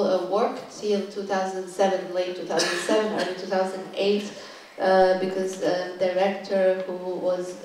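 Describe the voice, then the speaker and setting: A woman gives a university talk, halting and thoughtful, stopping often to find her next words. Her voice is fairly flat and sits at an ordinary pitch. It comes from well back in a very echoey lecture room with a faint hum underneath. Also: recorded from a distance. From Serbia. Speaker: guest curator giving a talk. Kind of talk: lecture to a live audience. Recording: echoey room, voice picked up from afar.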